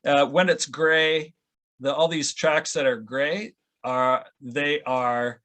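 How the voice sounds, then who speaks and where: A man explaining audio editing step by step in an informal, halting way during an online session. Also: a little high, flat delivery, fairly bright tone. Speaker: audio editor demonstrating at a conference session. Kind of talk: informal software tutorial. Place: online video call with screen sharing.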